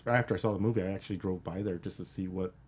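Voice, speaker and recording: A man with a lowish, raspy voice speaks at a rapid clip. He chats informally as a panelist on a film show. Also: fairly even intonation, darkish tone. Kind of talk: casual chat.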